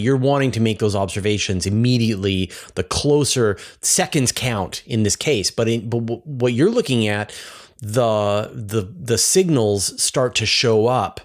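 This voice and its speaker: Man hosting a conversational science interview. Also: lowish voice. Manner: halting, rephrasing questions as he goes. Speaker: interview host.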